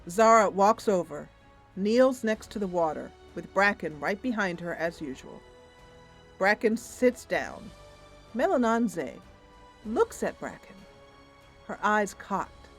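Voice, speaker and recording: Expressive woman narrating a roleplay. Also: mid-range voice, slow pace, faint music behind. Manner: expressive, descriptive. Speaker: game narrator, streamer. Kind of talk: improvised roleplay storytelling.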